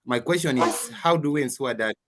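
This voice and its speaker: A man's voice: mid-range voice, fairly quick, a bit bright. A young man with a South Sudanese accent, earnestly putting a prepared question in an online session. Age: young adult. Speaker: audience questioner. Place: online video call.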